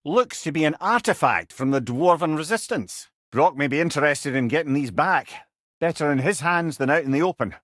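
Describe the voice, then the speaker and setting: A man's voice: fairly high pitch, expressive, normal speed, a bit bright, dry recording, studio quality. A man voicing a wise, witty old Scottish advisor in a video game, with a wry, eloquent and rueful manner. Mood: advising. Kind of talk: video game character dialogue.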